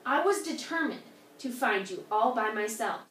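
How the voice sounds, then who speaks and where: A woman's voice: fairly high pitch, lively intonation, normal speed, fairly bright tone, a little hiss or hum. An earnest camel hero from a storybook folktale, acted out by a woman. The voice swings widely with emotion, exclaiming and pleading. It sounds roomy and a little distant from the mic. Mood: excited, proud.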